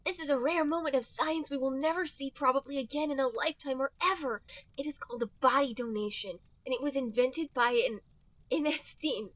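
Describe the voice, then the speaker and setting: A man's voice: extremely high pitch, fairly quick, a bit bright, dry recording, pitched above the performer's own voice. A man voicing an earnest young male fox who lectures matter-of-factly like a know-it-all in a fan fiction reading. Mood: earnest lecturing.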